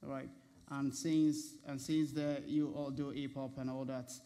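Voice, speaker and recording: Man, talk show host, headset mic, studio set, chatty and laughing, mid pitch. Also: flat delivery, unhurried, dark tone. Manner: laughs often, upbeat, restarts questions. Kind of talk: casual studio interview. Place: TV studio set with red sofas.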